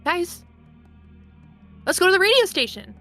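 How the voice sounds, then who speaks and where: A woman's voice: very high voice, sing-song, measured pace, pushed and bright. A woman playing a tabletop roleplaying game, talking casually and playfully as one of the players. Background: music under the voice.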